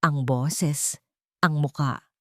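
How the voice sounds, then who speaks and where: A woman narrating a scripted dramatic story with measured, dramatic phrasing. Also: low-pitched, fairly even intonation, darkish tone, no room sound, studio quality.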